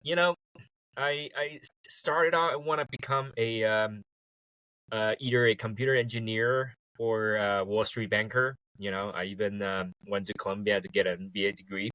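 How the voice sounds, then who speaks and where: Man, easygoing guest, bright tone, dry close mic. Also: on the low side, moderate pace, dry recording, low-quality recording. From Taiwan. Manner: relaxed, laughs easily, fillers and restarts. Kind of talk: casual interview.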